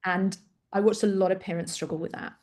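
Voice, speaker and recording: Woman, middle-aged, English accent, from Greece; interview guest, candid, animated, self-deprecating storyteller. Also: medium pitch, fairly quick. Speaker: parent coach guest. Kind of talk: conversational interview.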